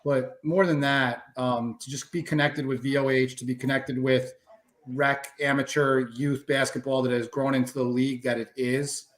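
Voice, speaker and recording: A man's voice: mid-range voice, little pitch movement, normal speed. A man who coaches college basketball, speaking as a guest on a show in a grateful, polite, conversational way. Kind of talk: guest interview.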